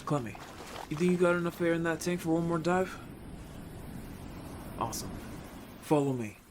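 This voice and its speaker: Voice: medium pitch, normal speed, very noisy, lightly acted. An actor playing an upbeat, bold young merfolk scout in an audio story, chatty, casual and playfully defensive. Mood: confident. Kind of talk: audio story character acting.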